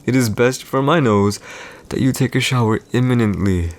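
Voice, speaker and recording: A man's voice: medium pitch, normal speed, close to an everyday voice. A man playing a haughty, teasing villain emperor in an ASMR audio roleplay, with formal, condescending, old-fashioned diction. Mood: teasing, smug.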